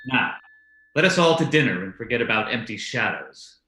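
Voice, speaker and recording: Man acting blustering brother, sharp forceful voice, theatrical false cheer, old-time radio drama, roomy echo. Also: mid-range voice, animated, moderate pace. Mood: brooding bluster. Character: blustering, volatile brother hiding guilty dread.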